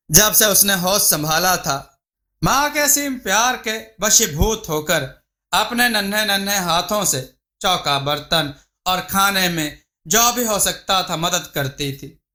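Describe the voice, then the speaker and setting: A man's voice: sits high, lively intonation, slow pace, bright, forceful tone. A man reading a scripted folk tale as a storyteller. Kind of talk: scripted narration of a Hindi moral folk tale.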